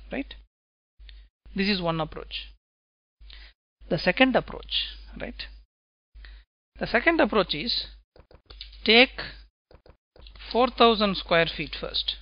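Male instructor, unhurried step-by-step explainer, dry close recording. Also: high-pitched, no room sound, highs cut off. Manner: slow, deliberate explaining, tag questions "right?". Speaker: software testing instructor. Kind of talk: screencast lecture.

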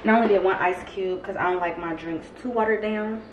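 A woman's voice: mid-range voice, fairly quick, highs cut off. Young woman vlogging in an echoey room. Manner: chatty and relaxed, many "um" and "like" fillers. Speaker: lifestyle vlogger. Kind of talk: casual chat to camera. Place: bedroom or dressing room at home, indoors. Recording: roomy echo of a bare room.

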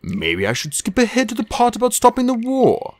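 A woman's voice: on the high side, wildly swooping pitch, fairly quick, darkish tone, no echo, pitched above the performer's own voice. A woman voicing an earnest, bookish young male dragon from a storybook, thoughtful and a little unsure. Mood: hesitant, thoughtful. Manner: thoughtful, studious. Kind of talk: storybook character voice.

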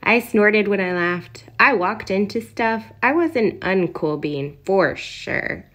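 A woman's voice: mid-range voice, expressive, takes it slowly, fairly bright tone. Woman voicing an awkward, self-doubting young bean. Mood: self-conscious.

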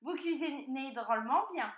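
A woman's voice: high-pitched, animated, a little slow, reverberant, voice pushed up. A woman voicing a cunning young male piglet in a children's storybook, politely pleading with false innocence. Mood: polite, coaxing.

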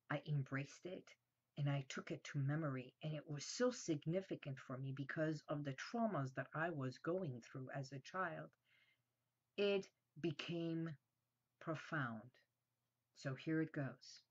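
A woman in her fifties, born in Iran and a native Armenian speaker, speaks slowly into a webcam in a small room at home. Her voice sits low and her phrases fade to a hush at the ends. The sound is a little dull and lo-fi. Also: slightly rough. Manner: soft and slow, trails off at phrase ends. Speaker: host. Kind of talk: reflective talk to camera.

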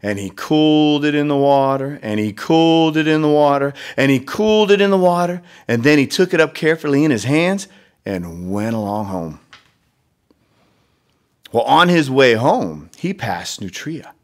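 A man's voice: a little high, lively intonation, normal speed. A man reading a children's storybook expressively, with conversational asides to young listeners. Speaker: read-aloud storyteller.

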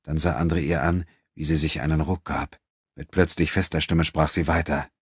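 Ein Mann, der als Hörbucherzähler einen literarischen Text dramatisch vorliest. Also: male voice, very deep voice, steady tone, moderate pace, breathy edge, no room sound, studio quality. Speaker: audiobook narrator. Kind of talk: dramatic scripted literary narration.

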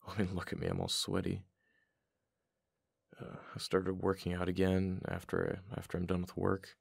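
A man acting as a worn-out husband in an intimate boyfriend audio roleplay. His voice is very low and heavily gravelly, with a husky edge. He talks casually and haltingly, fond but a little exasperated. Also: completely flat delivery, no echo, studio quality, full character voice. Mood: weary, complaining. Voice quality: deep, gravelly. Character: tired, affectionate husband, mildly exasperated, back from gym.

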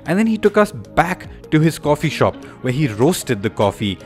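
A man's voice: on the high side, very animated, normal speed, faint music behind. A man reading scripted voice-over narration for a motoring travel film.

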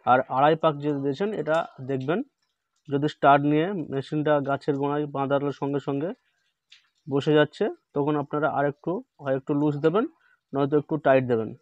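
A man hosting a hands-on repair tutorial, explaining each step slowly and repeating instructions, recorded on a phone indoors. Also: a little high, flat delivery, no room sound, muffled recording. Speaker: repair channel host. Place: indoor workbench.

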